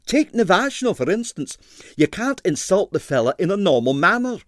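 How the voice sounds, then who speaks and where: A man's voice: high-pitched, big pitch swings, normal speed, fairly bright tone, no echo, studio quality, voice pushed up. A man voicing a sour, disdainful doctor who loathes bad ballet, emphatic and blandly scathing, for an audiobook. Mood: opinionated. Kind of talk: audiobook character voice.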